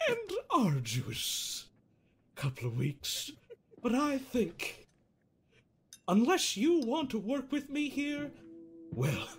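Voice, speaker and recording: A man playing a quirky, needling therapist in an improvised comedic game dub, speaking theatrically with halting pauses and self-amused chuckles. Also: sits high, full character voice.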